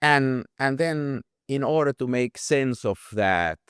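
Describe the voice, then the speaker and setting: Finnish man, interview guest, reflective and halting; resonant voice, dry close sound. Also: medium pitch, expressive, a bit bright, clear, resonant tone, dry recording. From Finland. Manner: thoughtful, halting, repeats words when starting. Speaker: guest photographer. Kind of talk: casual interview conversation.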